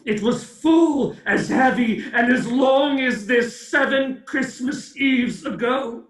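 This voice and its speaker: An actor playing the mournful, doom-laden ghost of a dead businessman in a theatrical radio play, slow and declamatory with a wailing edge. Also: very high voice. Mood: grave, mournful. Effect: cavernous echo on the voice. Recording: echoing, distant-sounding voice.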